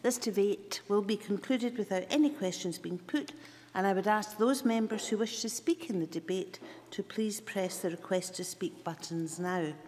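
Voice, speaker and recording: Woman chairing a debate in a reverberant hall. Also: medium pitch. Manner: brisk procedural announcements. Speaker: presiding officer. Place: large debating chamber. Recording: long reverb with faint noise.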